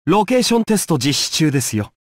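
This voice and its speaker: A man's voice: a little high, big pitch swings, quick pace, no echo, studio quality. A man playing a slick, polite schemer in an anime fighting game.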